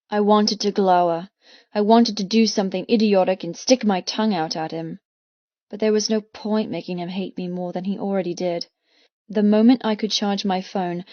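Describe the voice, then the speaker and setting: A female audiobook narrator with a medium-pitched voice reads first-person fiction expressively and intensely. The recording is very dry and slightly muffled, with no room around the voice. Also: warm tone, no room sound. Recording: slightly muffled, dry close studio sound.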